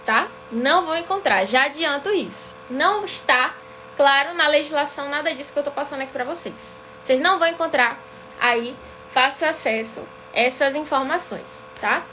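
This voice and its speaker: A high-pitched woman with a Bahian accent teaches a live online class. She speaks in a didactic way and keeps checking in with her viewers. A faint hum runs under her voice. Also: normal speed, sharp tone. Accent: Bahian Brazilian Portuguese. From Salvador, Bahia, Brazil. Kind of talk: live-streamed lesson.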